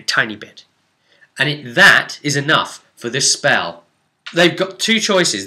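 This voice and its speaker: A man's voice: medium pitch, pushed and bright. A man speaking as a game master, telling the story of a tabletop role-play session informally, with emphatic, dramatic delivery. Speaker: game master recapping a campaign.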